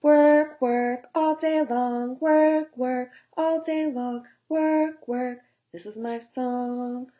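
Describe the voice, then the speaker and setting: A tiny, industrious ant from a children's storybook , acted out by a woman. She speaks in a fairly high, emphatic voice, earnest and scolding. The recording is slightly dull. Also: steady tone, very slow, hardly any pauses. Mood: determined. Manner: emphatic, chanting. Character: tiny, busy, hard-working female ant, earnest and scolding. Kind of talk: children's storybook character voice with work chants.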